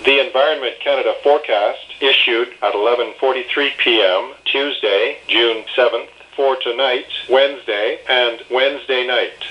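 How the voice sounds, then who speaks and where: A synthetic male announcer with a medium-pitched voice delivers an automated, scripted weather bulletin. The phrasing is segmented, item by item. It comes through a dull, lo-fi radio broadcast. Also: normal speed, hardly any pauses.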